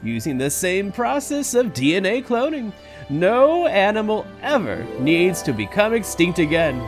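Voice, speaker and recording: Man playing a high-voiced, grandiose old park founder. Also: wildly swooping pitch, measured pace, exaggerated voice. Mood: visionary, grand. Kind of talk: audio drama acting. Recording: music under the voice. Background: music.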